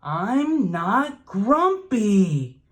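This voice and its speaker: A reader acts out a grumpy chimpanzee from a children's storybook. The voice jumps up high and protests with indignant emphasis, flatly refusing to admit the bad mood. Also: extremely slow, exaggerated voice. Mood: indignant, defensive.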